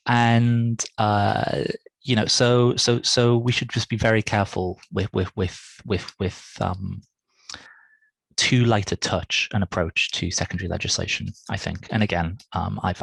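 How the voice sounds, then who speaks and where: A man in his thirties on a headset, presenting a legal point on a webinar, halting and self-effacing, often repeating words. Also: on the low side, vocal fry, a bit bright, breathy edge, no echo. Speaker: legal academic, panel speaker. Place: home study.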